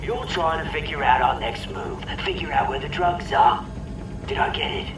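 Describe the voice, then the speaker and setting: An actor playing a gloating, theatrical crime villain in a video game, taunting and mocking, with a radio-like sound. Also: male voice, a little high, lively intonation, moderate pace, very noisy. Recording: echoey, distant, under loud music.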